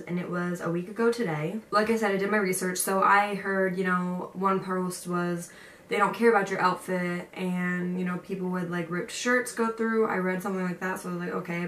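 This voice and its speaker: Young female vlogger, lowish voice, informal and chatty storytelling, echoey room with faint noise. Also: fairly bright tone. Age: young adult. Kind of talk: casual storytelling to viewers with advice. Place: indoor room with a picture on the wall. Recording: roomy echo, distant mic, faint noise.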